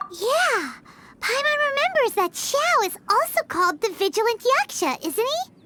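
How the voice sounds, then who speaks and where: A woman voicing a small, childlike, excitable fairy companion in a video game, squeaky and exclamatory. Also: a little hiss or hum, heavily acted. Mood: sympathetic, sheepish. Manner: exclamatory, gasping, emphatic. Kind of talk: video game character acting.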